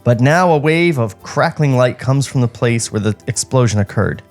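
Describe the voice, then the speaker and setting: A man narrates a script for a comedy podcast with wry asides. His mid-pitched voice is very animated, with music in the recording. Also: moderate pace. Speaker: podcast host reading stage directions.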